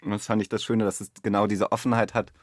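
A man's voice: on the low side, flat delivery, fast talker, no echo, studio quality. A man speaking as a director, explaining his view casually in an interview. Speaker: theatre and radio drama director.

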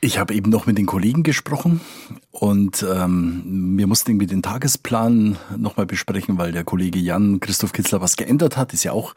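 A man with a low-pitched, rough-edged voice speaks as a correspondent in a podcast conversation. He is informal and emphatic, often repeating a phrase as he thinks aloud. The recording is dry. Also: moderate pace, a little mellow, dry recording. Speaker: foreign correspondent.

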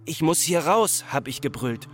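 Anxious farmer's son, Austrian accent. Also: male voice, fairly high pitch, lively intonation, fairly bright tone, slight background noise. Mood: panicked. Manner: deliberate, repetitive, dramatic storytelling. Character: anxious grown son of an obsessive farmer father. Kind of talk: literary radio drama monologue and dialogue.

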